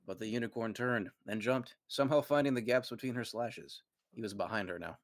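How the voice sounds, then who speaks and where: A man's voice: mid-range voice, a little fast, no room sound. A man narrating a comic fan fiction reading with dramatic storytelling flair. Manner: dramatic storytelling read aloud, occasional stumbles.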